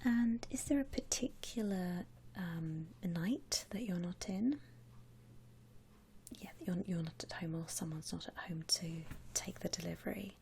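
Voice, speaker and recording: A woman voicing a friendly, attentive vampire saleswoman in a gentle, breathy ASMR whisper, close to the mic. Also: on the low side, a little hiss or hum. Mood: helpful, soothing. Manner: gentle, reassuring, chatty customer-service talk with pauses. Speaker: ASMR roleplay performer. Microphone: close ASMR microphone.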